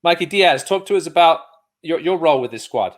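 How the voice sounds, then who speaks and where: A man's voice: fairly high pitch, lively intonation, fairly quick. A man hosting a sports show, talking casually as he interviews guests.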